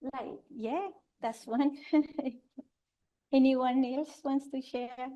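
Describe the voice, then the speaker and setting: This is a woman from Colombia, in her forties, giving a talk to an audience, her voice sitting a touch higher than most. She has a clear Colombian Spanish accent and an earnest, halting delivery, often stopping to restart a phrase or add an um. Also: steady tone, dull audio. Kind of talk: conference presentation. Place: lecture venue with audience.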